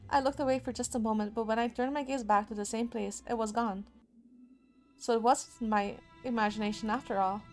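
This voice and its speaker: A woman's voice: on the high side, fairly even intonation, a little fast. A woman game streamer reading a horror visual novel aloud, dramatically, with spontaneous asides. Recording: music behind the voice. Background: game music.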